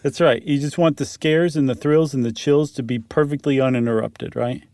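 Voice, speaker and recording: A man with plenty of vocal fry chats casually as a podcast co-host. He jokes in a dry manner. The recording is close and free of room sound. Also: medium pitch, fairly even intonation, normal speed, darkish tone, dry recording. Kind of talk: casual chat.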